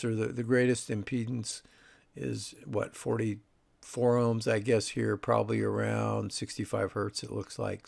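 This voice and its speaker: A man's voice: mid-range voice, vocal fry. A man presenting an audio review, explaining technical details in a casual, informal way.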